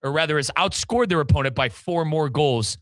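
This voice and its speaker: American man, sports radio host, forceful bright tone, higher-than-average pitch, energetic announcer delivery, dry studio sound. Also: a little fast, no echo.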